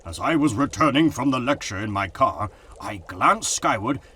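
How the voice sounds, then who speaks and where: A man playing an excitable, self-doubting older professor, hesitant and musing, in a dramatic comic-book reading. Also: medium pitch, normal speed, slightly rough. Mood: astonished.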